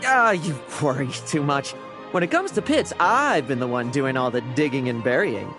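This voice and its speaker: A man's voice: medium pitch, expressive, moderate pace, natural voice. Man acting a cocky, lilting young knight. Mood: cheeky. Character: cocky, sly young knight, playfully evasive. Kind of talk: video game voice acting. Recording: music under the voice.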